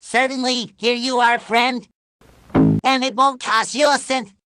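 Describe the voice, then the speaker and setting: A performer voicing a pushy, cheerfully menacing cartoon character who pitches like a salesman in short comedy ad skits. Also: measured pace, bright, forceful tone, no echo, clean studio sound, faint music behind. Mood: obliging.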